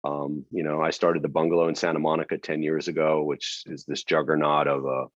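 A middle-aged man, a nightlife entrepreneur, telling his career story in a casual interview, with many fillers and throat clearing. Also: very deep voice, level tone, a little fast, no echo. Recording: dry, dull lo-fi audio.

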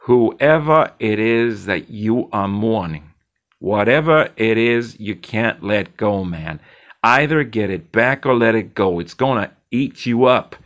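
A man's voice: lowish voice, animated, slow pace, fairly bright tone. A man voicing a slick, blunt male bartender who talks in a teasing, lecturing way.

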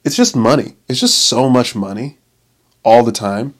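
A man's voice: mid-range voice, moderate pace. A man in his late twenties talking in a casual, rambling monologue, swearing often, restarting his sentences and laughing at himself. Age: 20s. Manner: profane, self-interrupting, laughs often.

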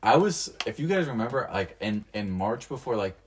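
A man's voice: fairly low pitch, very animated, normal speed, slight background noise. A young man co-hosting a casual podcast, jokey and slangy, often cutting in. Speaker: podcast co-host. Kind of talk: casual chat.

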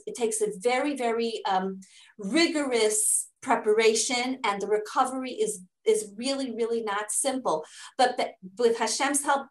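A woman's voice: on the high side, fairly bright tone, roomy sound, poor audio. A middle-aged woman, a native English speaker living in Israel, explaining her charity's work in an interview with earnest, step-by-step answers and frequent restarts. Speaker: charity fundraising director.

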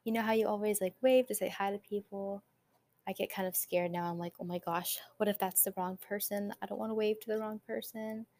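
A young woman chats casually on her own podcast, upbeat and a little rambling, slipping in quick asides. Her voice is naturally quiet, and her pitch sits in a middle range. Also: fairly even intonation. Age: young adult. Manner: chatty, upbeat, quick asides with light laughs. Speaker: podcast host, college student. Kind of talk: casual solo podcast chat.

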